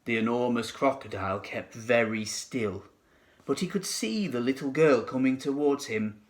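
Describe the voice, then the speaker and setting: A man narrating a children's storybook expressively, with dramatic, deliberate phrasing. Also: medium pitch. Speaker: teacher reading to pupils.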